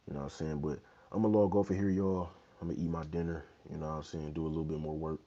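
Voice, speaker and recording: A man's voice: rumbling low, warm tone, husky. A young man in his twenties hosting a casual fitness livestream from a small bedroom, answering questions in a laid-back, slangy way through an earbud mic. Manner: laid-back, loose slang, many "you know what I'm saying". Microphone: wired earbud mic, phone held low. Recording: dull lo-fi phone audio.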